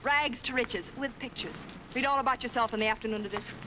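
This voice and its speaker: Actress as a fast-talking brash girl reporter. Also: a little high, close to an everyday voice. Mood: brash, pushy. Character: brash, pushy female newspaper reporter. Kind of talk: 1940s film noir acting. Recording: noisy old film street soundtrack.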